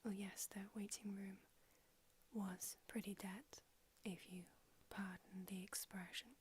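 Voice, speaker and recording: A woman's voice: mid-range voice, steady tone, unhurried, darkish tone, slight background noise, lightly acted. A woman whispering in an ASMR roleplay, voicing a brusque, haughty old female vampire doctor with a dry manner. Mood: whispery, brusque.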